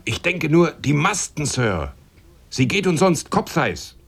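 An actor in a German radio drama playing a stolid, gruff first mate with a terse, plain-spoken way of talking. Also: male voice, on the high side, very animated, takes it slowly, a bit bright, full character voice. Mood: forceful. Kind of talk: German radio drama acting with narrated passages.

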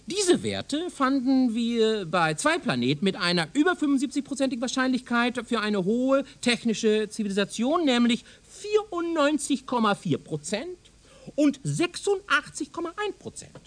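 Zealous professor, high-pitched, swooping delivery. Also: male voice, very animated, normal speed. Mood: eager lecturing. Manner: pedantic, lecturing, insistent. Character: zealous, self-assured male professor of speculative science. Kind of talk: German radio drama acting.

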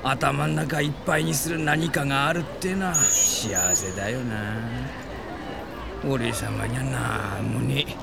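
An actor playing a hot-headed, self-pitying middle-school gang boss in a comic audio drama, talking in rough, boastful delinquent slang. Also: male voice, mid-range voice, fairly even intonation, measured pace, a loud crowd, very noisy. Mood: brooding, self-pitying.

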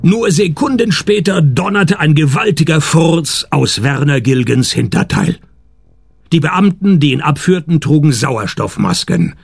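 An actor playing a dry, sardonic male private detective in a radio play, with a creaky voice and wry delivery. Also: on the high side, unhurried, no echo, exaggerated voice. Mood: deadpan narration. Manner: deadpan, wry. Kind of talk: scripted radio detective narration and dialogue.

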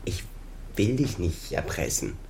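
An embittered son in his thirties in a radio crime drama. The voice sits low. He speaks deliberately and pointedly, with reproach under the words. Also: big pitch swings, gravelly, a little mellow, a little hiss or hum, exaggerated voice. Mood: probing, reproachful. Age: 30s. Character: bitter, accusing son of a doctor, thirty-six.

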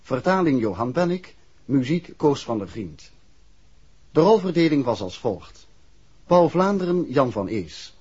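A man making a formal, measured, scripted credits announcement as a radio announcer. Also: medium pitch, sing-song, a little mellow, breathy edge. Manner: formal, measured list reading. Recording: muffled 1960s radio recording.

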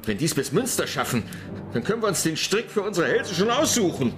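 An actor playing a gruff, murderous coachman with a rough, sneering manner in a German children's radio play. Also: sits high, very animated, brisk, over music. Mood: alarmed, angry.

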